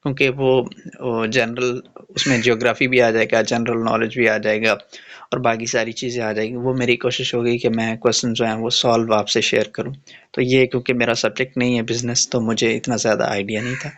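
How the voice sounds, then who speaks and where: A man's voice: medium pitch, flat delivery, brisk, a bit bright. A man with a Pakistani accent giving an informal, matter-of-fact exam-prep explainer, mixing in English phrases and reading questions aloud.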